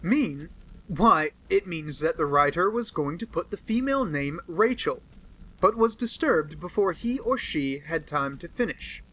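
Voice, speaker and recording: A man's voice: on the high side, expressive, unhurried, fairly bright tone, rough recording. A man with an American accent voicing a boastful, touchy little police inspector in an audiobook reading. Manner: brash, insistent, self-satisfied.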